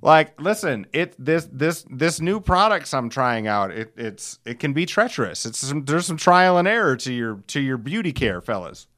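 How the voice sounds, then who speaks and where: A man's voice: a little high, lively intonation, moderate pace, few pauses, fairly bright tone, no room sound, studio quality. A middle-aged man hosting a podcast, telling casual, rambling stories in a folksy, profane, self-deprecating way. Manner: chuckles while telling stories. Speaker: podcast host.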